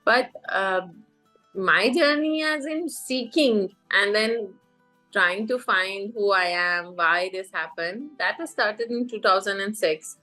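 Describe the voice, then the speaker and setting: A woman's voice: mid-range voice, slow pace, bright, forceful tone, soft background music, rough recording. A middle-aged woman from Iran, a life coach, telling her story in a podcast interview with many fillers and restarts.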